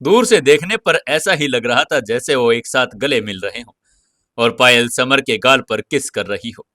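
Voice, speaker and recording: A man narrates a scripted audio drama with expressive storytelling. His mid-pitched voice is bright and forceful. The recording is close and very dry, as on a studio mic. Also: moderate pace, no echo.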